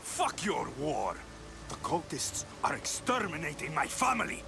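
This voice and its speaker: A man's voice: a little high, wildly swooping pitch, measured pace, creaky, over music, heavily acted. A man playing a brooding, hot-tempered Greek mercenary in a video game, blunt, skeptical and impatient. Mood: angry, desperate. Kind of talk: dramatic video game acting.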